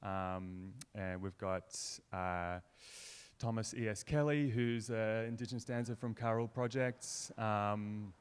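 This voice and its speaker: A man's voice: fairly low pitch, slow, clean tone. A man, explaining his work casually and thoughtfully as an interview guest. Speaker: theatre maker being interviewed.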